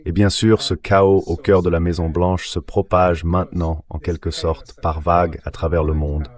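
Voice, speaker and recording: A man giving measured, formal geopolitical analysis as an expert guest in a dubbed interview. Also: low voice, little pitch movement.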